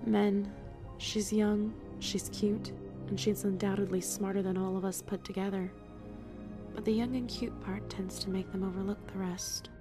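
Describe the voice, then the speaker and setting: A woman's voice: medium pitch, flat delivery, normal speed, natural voice. A woman playing a wry, resolute young female team member in an audiobook, voicing thoughts and dialogue. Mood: wry, concerned. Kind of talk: audiobook inner monologue and dialogue. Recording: music behind the voice.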